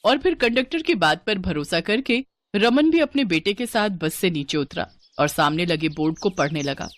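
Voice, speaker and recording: A woman's voice: on the low side, expressive, normal speed. A woman narrator delivering a scripted moral story as storytelling narration.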